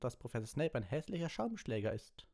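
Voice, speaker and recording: A man's voice: medium pitch, sing-song, brisk, darkish tone, voice pushed up. A man voicing an enchanted map that delivers insults with mock-polite formality in an audiobook. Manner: formal, mocking. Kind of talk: audiobook character reading.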